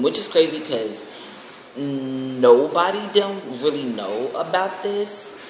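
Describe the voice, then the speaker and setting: A man with an American accent talking casually in a rambling, informal vlog style, often restarting his phrases. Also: fairly low pitch. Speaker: aspiring singer and video creator. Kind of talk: casual personal vlog announcement. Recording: very echoey, reverberant room with faint noise.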